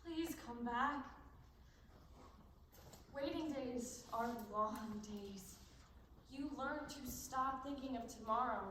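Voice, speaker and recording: Woman acting anguished young girl, high voice, stage play, very echoey, distant microphone. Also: fairly bright tone. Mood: wistful, waiting. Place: stage in a large room. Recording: roomy distant stage recording, faint noise.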